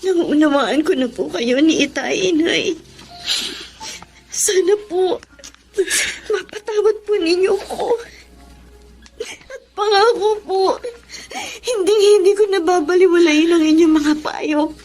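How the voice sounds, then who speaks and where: Very high-pitched, tearful young daughter. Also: female voice, unhurried, a little mellow, faint music behind. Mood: tearful, remorseful. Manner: emotional, pleading. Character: remorseful young daughter and mother, tearful and pleading. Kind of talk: Filipino radio drama acting.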